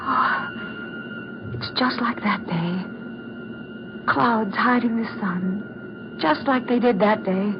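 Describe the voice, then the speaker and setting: An actress playing an anxious, haunted young woman in a 1940s style radio drama, speaking with theatrical pauses. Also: medium pitch, over music. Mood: rising dread. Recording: noisy, muffled old radio recording, engine drone.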